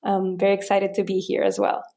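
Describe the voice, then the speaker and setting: A woman's voice: mid-range voice, completely flat delivery, brisk, gravelly, mellow. A young woman from Colombia, a medical fellow introducing herself as a podcast guest. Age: young adult. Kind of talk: self-introduction.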